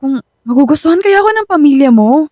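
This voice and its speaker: Nervous young girlfriend, high voice, hesitant and pleading, radio drama, dry sound. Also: animated, quick pace, mellow, no room sound. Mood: anxious. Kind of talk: Filipino radio drama acting.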